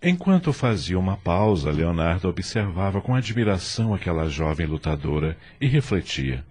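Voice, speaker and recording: A man with a Brazilian accent giving formal, measured scripted narration for an audio drama. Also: low-pitched, very animated, darkish tone, breathy edge.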